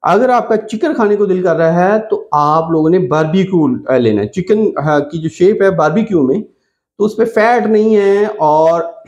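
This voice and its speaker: Higher-voiced Pakistani man giving health advice. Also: darkish tone, lo-fi sound. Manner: instructive, repeats key points. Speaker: doctor giving diet advice. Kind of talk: informal instructional health talk to camera.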